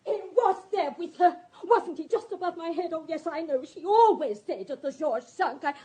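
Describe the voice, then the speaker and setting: Very high-pitched, volatile, distraught woman. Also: lively intonation, moderate pace, mellow. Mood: bitter, jealous. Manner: emotional, accusing, exclaiming. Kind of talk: radio drama acting.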